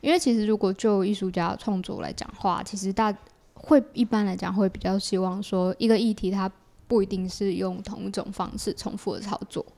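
A woman's voice: medium pitch, fairly even intonation, fairly quick, gravelly, mellow. A woman with a Taiwanese Mandarin accent, a podcast guest explaining her creative work in a casual conversational way. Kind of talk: casual explanatory interview talk.

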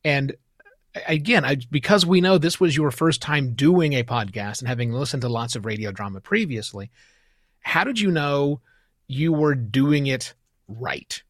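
A man's voice: mid-range voice, normal speed, fairly bright tone, dry recording, clean studio sound. A man hosting a podcast, speaking in a conversational interview style.

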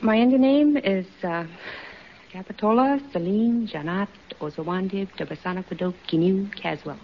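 A woman's voice: medium pitch, normal speed. Woman contestant, muffled noisy old radio. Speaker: housewife quiz contestant. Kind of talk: short interview answers.

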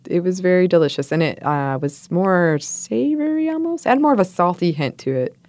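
A woman co-hosting a food podcast in relaxed, chatty talk, also reading listener mail aloud. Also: fairly low pitch, fairly quick, dry recording. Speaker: podcast co-host. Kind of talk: casual chat.

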